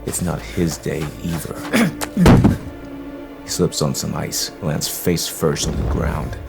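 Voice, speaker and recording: A man playing a grim, exhausted masked vigilante with a whispery, gravelly growl, sick and brooding, in an audio drama. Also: very deep voice, huge pitch swings, full character voice. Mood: brooding, weary. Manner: slow, terse, brooding, menacing. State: sick, coughing. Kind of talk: audio drama acting with noir narration. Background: music.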